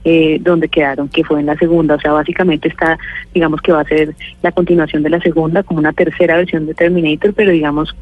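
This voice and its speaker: A Colombian woman speaks over a tinny, phone-like line with a dry sound. She is an actress being interviewed, and she talks at length with frequent fillers. Her pace stays relaxed and thoughtful. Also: sits low, fast talker, no room sound. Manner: thoughtful, many fillers and restarts.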